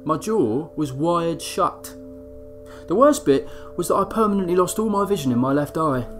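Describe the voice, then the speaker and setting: An actor playing a rough, cocky young bare-knuckle fighter, telling his story in chatty, matey slang. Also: a little high, animated, moderate pace, a bit bright, soft background music. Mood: grim. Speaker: actor in an audio drama. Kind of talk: chatty first-person dramatic storytelling.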